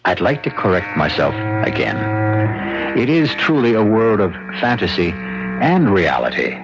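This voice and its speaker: A man hosting and narrating a radio mystery show in a measured, portentous, theatrical manner. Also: on the low side, sing-song. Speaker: host and narrator. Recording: dull old radio broadcast. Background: music.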